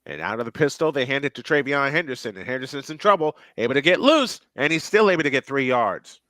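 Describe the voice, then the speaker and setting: Male play-by-play caller, pitch jumps on big plays, repeats himself; dry, close sound. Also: lively intonation, fast talker, a bit bright, no room sound. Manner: rises sharply in pitch and volume on big plays, repeats phrases. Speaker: play-by-play announcer. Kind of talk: live football play-by-play commentary.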